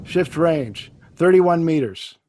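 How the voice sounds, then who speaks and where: A clipped, high-voiced artillery officer. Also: male voice, expressive, slow pace, heavy background noise. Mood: commanding. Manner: clipped commanding orders. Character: male artillery officer giving firing orders. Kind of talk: 1930s radio drama acting.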